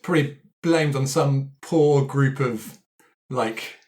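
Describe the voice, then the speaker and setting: A man's voice: mid-range voice, takes it slowly, darkish tone. A man chatting casually as a player in a tabletop roleplaying show, improvising backstory. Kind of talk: casual improvised game discussion.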